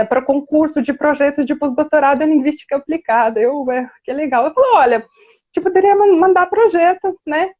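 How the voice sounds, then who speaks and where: A woman's voice: high voice, phone-quality sound. A woman researcher talking through a live video interview, conversational, with many fillers and restarts. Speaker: guest researcher.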